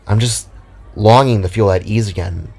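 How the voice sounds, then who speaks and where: A man's voice: fairly low pitch, normal speed, vocal fry, a little mellow, husky, some chatter behind. A man voicing a sullen, weary twenty-year-old younger sister with a sulky, hesitant manner in a narrated story.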